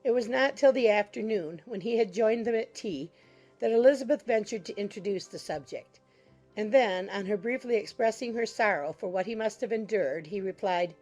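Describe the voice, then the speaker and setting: A woman's voice: mid-range voice, moderate pace, low-quality recording. A woman giving scripted literary narration for a dramatized audiobook. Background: soft background music.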